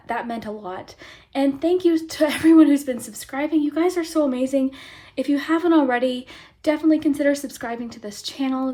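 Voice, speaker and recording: A young woman with a slightly hoarse, sore throat giving a chatty tarot reading to her viewers, friendly and soft-spoken, sometimes dropping to a whisper. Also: a little high. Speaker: tarot reader and channel host. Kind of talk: chatty pick-a-card tarot reading to camera.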